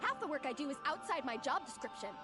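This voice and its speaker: A woman's voice: high voice, big pitch swings, quick pace. An actress playing an overworked young woman artist, exasperated and ranting at her boss. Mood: angry. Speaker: overworked employee. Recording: loud game music behind.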